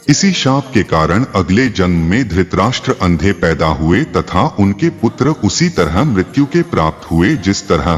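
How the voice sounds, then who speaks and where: A man narrating scripted mythological tales in a formal, dramatic storytelling style. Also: deep voice. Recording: long reverb, faint music behind. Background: faint background music.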